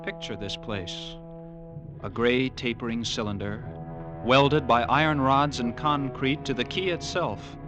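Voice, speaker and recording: A man's voice: medium pitch, a little slow, natural voice. A man playing a grim lighthouse keeper telling a nightmare tale in a dramatic 1940s radio drama style. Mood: ominous, intense. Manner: brooding, dramatic, vividly descriptive storytelling. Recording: vintage radio broadcast with music underneath.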